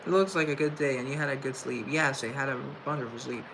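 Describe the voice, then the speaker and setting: A young man hosting a casual livestream, chatting reflectively and reading chat aloud, with a halting, stuttering delivery full of restarts. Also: medium pitch. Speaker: livestream host. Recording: noisy room tone.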